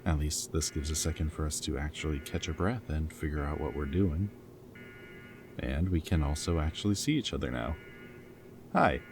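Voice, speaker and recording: Very deep-voiced, wry rebel man, acted by a man. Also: lively intonation, normal speed. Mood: tense. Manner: wry, teasing, intimate. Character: goofy, flirty captured rebel, protective and defiant man. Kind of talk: dystopian roleplay audio acting. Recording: background music, loud ambient noise in places.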